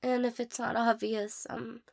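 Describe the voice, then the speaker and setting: Woman acting a high, stammering, insecure young woman. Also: level tone, a little slow, creaky. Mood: nervous, embarrassed. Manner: hesitant, stammering, apologetic, breaking into whispers. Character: shy, insecure, tearful young woman being asked out. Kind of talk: intimate romantic audio roleplay acting.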